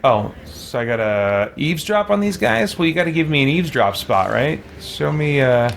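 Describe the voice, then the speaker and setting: A man's voice: a little high, sing-song, moderate pace, vocal fry, a little hiss or hum. A man casually commentating on his gameplay, thinking aloud and muttering to himself. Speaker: livestreaming gamer, let's play host.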